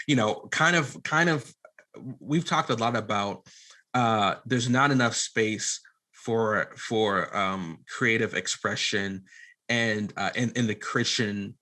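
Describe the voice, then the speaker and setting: A man's voice: lowish voice, bright, forceful tone. A man speaking as a podcast guest, candid and earnest, often qualifying and circling back on his own points. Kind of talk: candid conversational interview.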